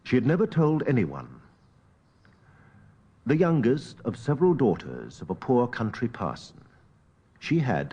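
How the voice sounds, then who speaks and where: Casting: educated gentleman telling a ghostly tale, measured and grave, widely swooping intonation, dull-edged recording. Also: medium pitch, husky. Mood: fond, wistful. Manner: measured, theatrical, with weighty pauses. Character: educated Victorian gentleman recounting a haunting memory, grave and wistful. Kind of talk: dramatic literary audiobook narration.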